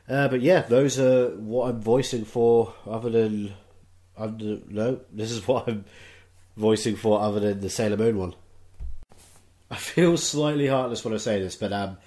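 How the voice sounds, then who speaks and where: A man talking casually in an interview about his work, with a halting, hesitant manner. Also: on the low side, darkish tone. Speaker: voice actor, cast member.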